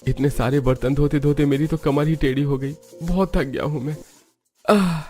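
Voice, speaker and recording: A man's voice: on the high side, steady tone, normal speed, dark tone, faint music behind, natural voice. Man as a humble, weary poor cartoon father. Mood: exhausted. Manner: earnest, downcast.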